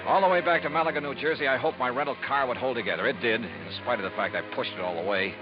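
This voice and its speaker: A man's voice: medium pitch, fast, over music, natural voice. An actor playing a hard-driving male insurance investigator in a 1950s radio drama, questioning with clipped, pressing lines. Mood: narrating. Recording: dull lo-fi 1950s radio broadcast.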